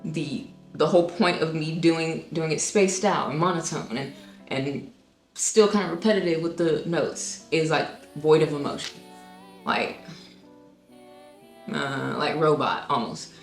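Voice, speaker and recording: Low-voiced woman explaining music theory. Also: unhurried, reverberant. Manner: thinks aloud with many ums and restarts, sometimes sings a note. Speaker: singer-songwriter explaining her own song. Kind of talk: casual music breakdown.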